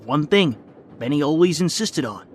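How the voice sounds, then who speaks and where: A man delivering scripted documentary narration with measured, formal phrasing. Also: a little high, expressive, a little hiss or hum. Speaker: podcast narrator.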